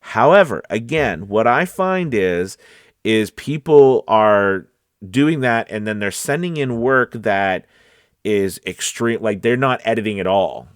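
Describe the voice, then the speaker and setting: Male voice-over coach, lower register, very dry recording; informal advice delivery that leans on key words and checks in with the listener. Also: expressive, takes it slowly, clean tone, no room sound. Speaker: voice-over coach and casting director. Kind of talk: casual direct-to-viewer advice talk.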